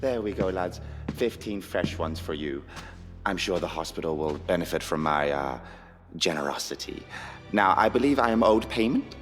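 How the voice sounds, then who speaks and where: A man playing a stern, greedy, pompous workhouse master in a radio drama, speaking in a commanding, deliberate way. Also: low-pitched, lively intonation. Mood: smug, businesslike. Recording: long hall reverb, music behind.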